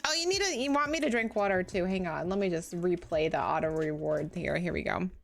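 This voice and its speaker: A woman's voice: fairly low pitch, animated, a little fast, creaky, faint music behind. A woman streaming a game, chatting casually with her viewers in a bubbly, giggly, self-deprecating way, sounding tired.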